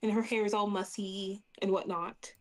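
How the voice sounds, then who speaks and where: A woman's voice: mid-range voice, steady tone, normal speed. A woman playing a tabletop role-playing game, chatting and improvising casually in a playful way.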